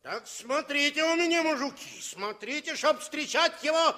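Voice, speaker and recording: An actor playing a bullying, servile estate steward, barking orders in a 1960s Soviet radio play. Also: male voice, extremely high pitch, normal speed, sharp tone. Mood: bullying, threatening. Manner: barking, haranguing, repetitive orders. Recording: reverberant hall with crowd murmur.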